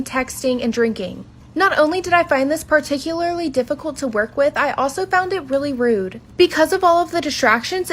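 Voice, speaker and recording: Woman reading out a storytime, high voice, tidy explaining delivery, faint noise behind. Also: fairly bright tone. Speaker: makeup artist. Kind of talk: scripted storytime narration.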